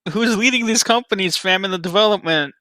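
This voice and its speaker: Bright, higher-pitched man chatting informally. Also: fairly quick. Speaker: podcast co-host. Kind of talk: casual chat.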